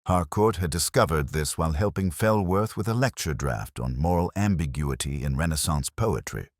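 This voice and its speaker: A man narrating a scripted first-person detective story in measured, literary phrasing. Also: a bass voice, animated, dry recording, clean studio sound.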